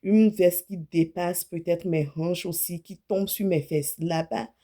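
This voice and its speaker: A woman in her late thirties talks to her viewers like a friend, giving clothing advice with easy frankness. Her voice is warm and mellow, and she often stops to rephrase or correct a word as she goes. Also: fairly low pitch, steady tone, unhurried. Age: 30s. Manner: chatty, self-correcting, speaks frankly about her own body. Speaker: image consultant. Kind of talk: casual talk to camera.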